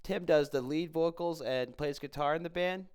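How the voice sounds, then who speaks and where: A man's voice: a little high, moderate pace, a little mellow, smooth. A man hosting a podcast, chatting casually and a little hesitantly as he introduces and interviews a guest. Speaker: podcast host interviewing a musician.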